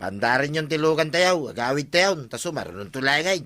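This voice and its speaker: A man's voice: a little high, big pitch swings, a little fast, sharp tone, no room sound. A man playing an exasperated village man who complains emphatically, in an Ilocano radio comedy drama.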